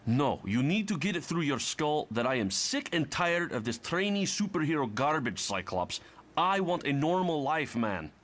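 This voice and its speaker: An actor playing a bitter young Russian mutant who is fed up with heroics, defiant and argumentative, in a motion comic. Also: male voice, a little high, very animated, normal speed, fairly bright tone. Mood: angry.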